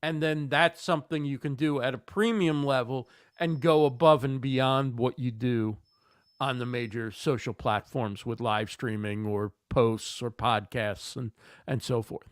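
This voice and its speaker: A man's voice: medium pitch, fairly even intonation, measured pace, smooth, dry recording, studio quality. Man with a slightly worn voice. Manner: thoughtful, with frequent fillers and repeated words. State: slightly losing his voice. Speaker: guest expert on livestreaming. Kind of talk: conversational interview answers.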